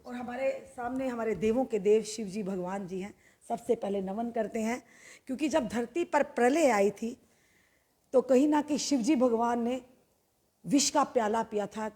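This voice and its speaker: A woman's voice: fairly high pitch, moderate pace, dark tone. A woman hosts a political interview. She presents in the manner of a news interviewer, setting out issues and putting questions. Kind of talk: scripted-sounding political interview introduction and questions.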